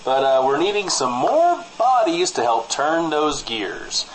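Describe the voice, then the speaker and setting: A man co-hosting a comedy podcast on a studio mic, bantering through mock local news in a deadpan, rambling way. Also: on the high side, measured pace, poor audio. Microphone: studio microphone. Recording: faint background noise.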